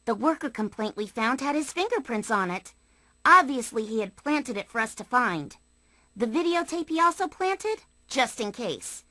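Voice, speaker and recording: An actress voicing a smart, matter-of-fact young mystery sleuth in a cartoon game, precise and know-it-all. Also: female voice, on the high side, very animated, moderate pace, pushed and bright, no room sound. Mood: explaining.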